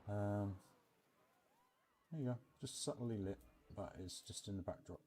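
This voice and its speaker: Deep, mellow man chatting casually. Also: unhurried, a little hiss or hum. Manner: rambling, thinking aloud. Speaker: video creator showing his game park build. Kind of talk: casual game walkthrough commentary.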